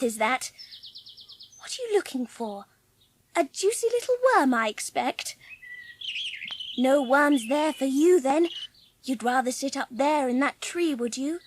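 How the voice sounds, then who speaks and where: A little orphan girl, really high voice, unhurried, curious and full of wonder, noisy audio with birdsong. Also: animated. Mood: delighted. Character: lonely, curious young orphan girl from colonial India. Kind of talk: dramatised audiobook acting. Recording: clearly audible background noise.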